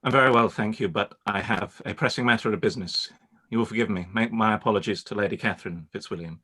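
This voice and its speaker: An actor playing a proud, reserved young gentleman, speaking formally and with measured gravity in a live-read radio play. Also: lowish voice, breathy edge. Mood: hurried, evasive. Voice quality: formal, measured, stiffly polite.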